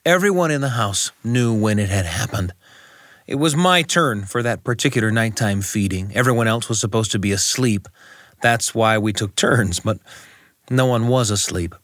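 A middle-aged man telling a personal story as a scripted monologue, reflective and deliberate, speaking in short phrases with pauses. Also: on the low side, a bit bright, dry recording, clean studio sound.

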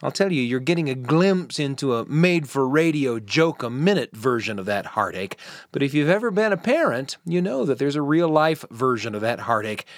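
A man's voice: mid-range voice, big pitch swings, moderate pace, no room sound, clean studio sound. A man hosting a story podcast, narrating in a wry, conversational way. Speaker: podcast host. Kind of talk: casual scripted narration.

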